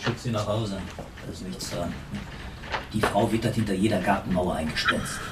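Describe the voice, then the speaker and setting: An actor playing a patronising, sceptical police detective in a naturalistic radio crime drama, officious and placating in manner. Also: male voice, on the low side, normal speed, heavy background noise, close to an everyday voice. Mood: dismissive. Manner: officious, placating, hesitant fillers.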